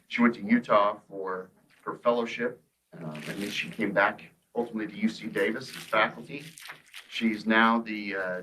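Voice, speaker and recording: A middle-aged man surgeon introducing a guest lecturer, warm and a bit hesitant. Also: on the low side, slight background noise, low-quality recording. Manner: warm, hesitant, with fillers and restarts. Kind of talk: formal introduction. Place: lecture hall.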